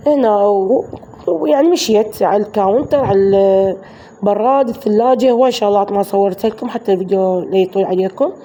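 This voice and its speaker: A woman with an Iraqi Arabic accent, hoarse from a flu, chatting through a voice-over of her daily home routine for her viewers. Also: mid-range voice, fast, dark tone. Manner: chatty, addresses viewers as girls. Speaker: vlogger.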